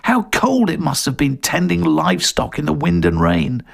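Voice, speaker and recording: A man's voice: fairly high pitch, lively intonation, normal speed, vocal fry, fairly bright tone, heavily acted. A man voicing an enthusiastic middle-aged male walker in an audio story. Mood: musing.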